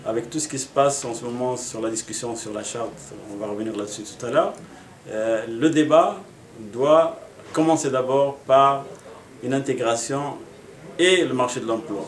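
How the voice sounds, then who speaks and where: Middle-aged man from Morocco, earnest candidate, measured answers, echoey room. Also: mid-range voice. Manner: earnest, measured, with frequent pauses and fillers. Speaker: municipal election candidate. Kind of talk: interview answers.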